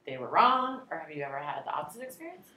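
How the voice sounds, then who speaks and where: Lively, playful woman podcasting. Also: deep voice, fast talker. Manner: playful, joking, excitable. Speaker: podcast co-host. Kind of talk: casual chat. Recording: echoey room.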